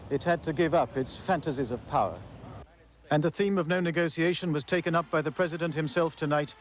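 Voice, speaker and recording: A man narrating a scripted television news report as a correspondent, measured and a little wry. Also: fairly high pitch. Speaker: foreign correspondent.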